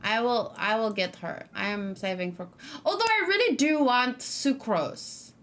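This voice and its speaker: High-voiced woman chatting through a game. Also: expressive, a little slow, a bit bright. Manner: chatty, musing aloud. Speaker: gaming streamer. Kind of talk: casual let's play commentary.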